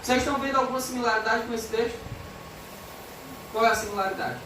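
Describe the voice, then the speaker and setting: A woman's voice: a little high, steady tone, fast. A woman with a Brazilian Portuguese accent preaching an expository sermon, earnest and emphatic, reading scripture aloud. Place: church hall. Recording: echoey church hall from far off, background noise.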